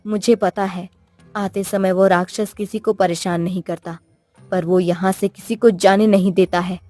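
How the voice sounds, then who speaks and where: A woman's voice: medium pitch, fairly even intonation, moderate pace, dark tone, no echo, faint music behind, natural voice. A woman voicing an assured magical queen in a cartoon story, commanding and reassuring. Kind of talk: cartoon moral story acting.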